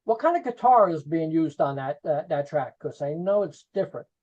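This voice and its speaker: A host asking short, casual questions about an album track by track, with brief affirming replies. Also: male voice, on the high side, expressive, normal speed, a little mellow, lo-fi sound. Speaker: interview host. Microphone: video call.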